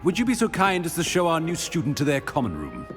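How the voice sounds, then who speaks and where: An actor playing a stern, testy British school headmaster in a fantasy game, pompous and authoritative, in a reverberant hall. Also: male voice, on the high side, huge pitch swings, fast talker, a bit bright, soft background music. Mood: stern, impatient.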